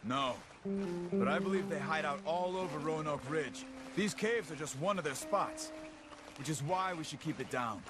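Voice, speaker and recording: A man playing a calm, watchful gang scout in an Old West video game, talking in a measured, cautionary way. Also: fairly high pitch, close to an everyday voice. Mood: low-key, cautious. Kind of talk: video game cutscene acting. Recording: loud music behind the voice.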